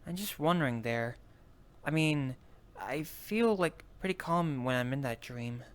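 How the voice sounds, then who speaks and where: A man playing an easygoing, unsure adventurer in an improvised online roleplay, talking casually and haltingly. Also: a little high, expressive, smooth. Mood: pensive. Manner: hesitant, halting, searching for words. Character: easygoing, unsure young adventurer with an elemental bracelet. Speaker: roleplay player. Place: virtual reality voice chat.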